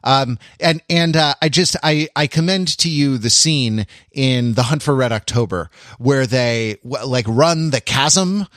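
Mid-pitched man chatting, in dry close sound. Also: fairly bright tone, dry recording. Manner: halting, conversational, trailing off. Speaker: podcast co-host. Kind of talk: casual chat, explaining.

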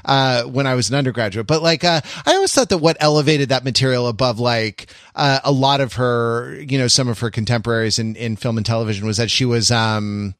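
A man co-hosting a podcast, chatting casually in a halting way, often circling back to correct himself. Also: mid-range voice, fairly bright tone, no room sound, clean studio sound. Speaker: podcast co-host. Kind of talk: casual chat about TV.

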